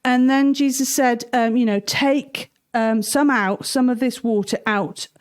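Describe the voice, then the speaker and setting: A woman's voice: fairly high pitch, fairly even intonation, unhurried, clear, resonant tone. A woman hosting informal Bible storytelling for children in a chatty, giggly, enthusiastic way. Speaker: storytelling host.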